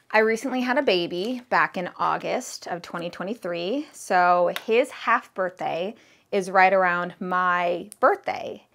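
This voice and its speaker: A thirty-year-old woman vlogging about her candle business in a relaxed, rambling chat, full of fillers and "like"s while she works with her hands. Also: mid-range voice. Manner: chatty, rambling with fillers and likes. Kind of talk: casual chat to camera.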